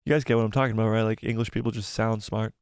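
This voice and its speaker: A young man hosting a live stream, rambling excitedly, laughing often and reading viewer comments, slightly losing his voice. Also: fairly low pitch, very fast, smooth, no room sound, clean studio sound. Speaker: YouTube channel host.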